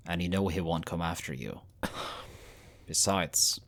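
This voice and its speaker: A man playing a low-voiced, earnest pack leader. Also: unhurried, a bit bright. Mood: regretful, firm. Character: weary, caring young male shifter pack leader, twin brother. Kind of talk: dramatic audio roleplay.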